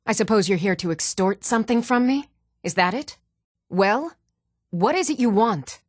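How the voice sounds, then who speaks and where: An actress voicing an even-toned young Nordic townswoman and warrior in a fantasy video game, measured and clearly spoken. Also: medium pitch, no echo, studio quality, lightly acted. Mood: cool, measured. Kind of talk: scripted video game dialogue.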